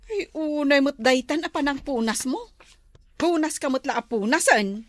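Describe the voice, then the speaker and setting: A woman's voice: high voice, animated, moderate pace, no echo. A woman with an Ilocano accent playing an exasperated schoolteacher daughter in a village comedy drama. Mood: annoyed.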